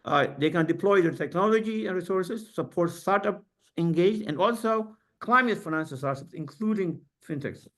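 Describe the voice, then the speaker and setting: A man giving academic panel remarks on a video call, halting with many fillers and restarts. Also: sits high, animated, a bit bright.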